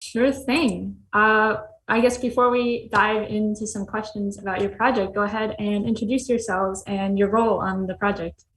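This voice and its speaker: A woman's voice: medium pitch, fairly even intonation, normal speed, a bit bright. A woman asking casual questions as the interviewer on a panel. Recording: echoey room with faint background noise.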